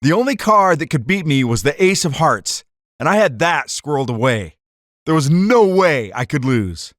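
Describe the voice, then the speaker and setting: A man's voice: fairly high pitch, big pitch swings, normal speed, no room sound, studio quality. A man playing a hot-headed card-cheating gangster telling his story in slangy 1940s radio drama style. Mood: narrating, bitter.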